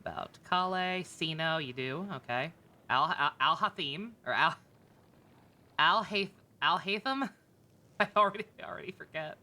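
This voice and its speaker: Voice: mid-range voice, a little slow, bright, forceful tone. A game streamer giving casual, rambling, jokey livestream commentary and laughing easily. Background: video game music.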